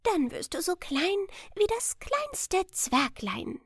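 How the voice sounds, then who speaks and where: A woman voicing a tiny, kindly female storybook mouse with a squeaky voice, eager to help. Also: very animated, slow pace, a bit bright, no echo, clean studio sound, exaggerated voice. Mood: reassuring.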